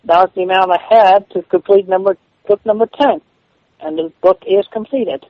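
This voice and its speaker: A man speaking defensively on a phone call, halting and repeating himself. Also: high-pitched, fairly even intonation, no echo. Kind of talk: phone conversation, answering challenges. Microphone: telephone call. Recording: dull lo-fi phone call recording.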